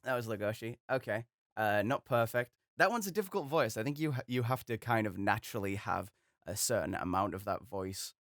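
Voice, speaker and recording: A man's voice: on the low side, expressive, fairly quick, a bit bright, dry recording, clean studio sound. A young man chatting casually as host of a voice acting video, rambling and self-deprecating. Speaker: voice acting YouTuber. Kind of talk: casual chat.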